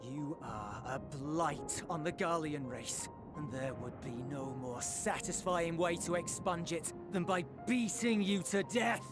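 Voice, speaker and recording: An actor playing a stern, embittered soldier in a video game cutscene, speaking with measured, restrained anger. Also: male voice, on the high side, loud music behind the voice, close to an everyday voice. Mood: bitter.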